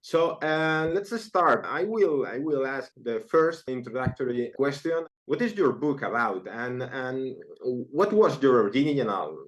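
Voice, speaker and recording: A man hosting an academic interview, introducing the guests and asking questions with hesitant repeats. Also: mid-range voice. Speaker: interview host.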